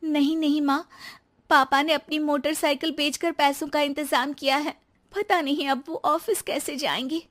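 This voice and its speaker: A woman voicing an earnest, dutiful young daughter-in-law in a moral story, protesting politely with quiet resolve. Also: high voice, steady tone, moderate pace, pitched above the performer's own voice. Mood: pleading. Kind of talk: acted moral-story dialogue.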